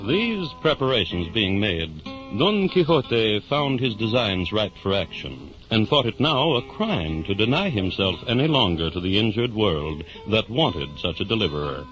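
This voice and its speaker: A man narrating a literary adventure in the theatrical, measured style of 1950s radio drama. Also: lowish voice, big pitch swings, fairly bright tone. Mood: dramatic, measured. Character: storyteller narrating a knight's adventures. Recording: muffled 1950s radio recording. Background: guitar music.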